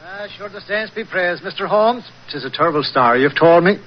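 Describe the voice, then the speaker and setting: Jovial Irish country policeman, very animated lilt, 1940s radio acting, muffled vintage recording. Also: high-pitched. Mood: genial, emphatic. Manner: lilting, unhurried, chuckling, folksy. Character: jovial, happy-go-lucky Irish village police sergeant. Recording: muffled old-time radio broadcast.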